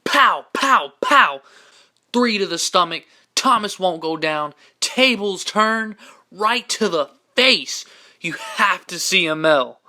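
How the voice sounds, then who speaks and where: A man's voice: high voice, expressive, takes it slowly, sharp tone, exaggerated voice. A man playing an earnest teenage boy in an amateur audio drama. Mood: excited. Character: earnest teenage boy, a swing kid forced into uniform.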